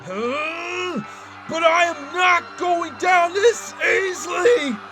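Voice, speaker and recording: A man's voice: extremely high pitch, drawn-out words, heavily acted. A man playing a stubborn, battered fighter making a last stand in a visual novel. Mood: strained, defiant. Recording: voice under loud music.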